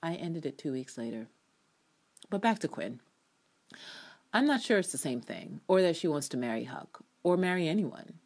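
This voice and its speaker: A woman's voice: low-pitched, sing-song, moderate pace, pauses often, darkish tone. A woman reviewer giving an opinionated, sardonic and theatrical reading of her own written commentary, with casual asides. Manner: emphatic, theatrical delivery. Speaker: TV drama reviewer.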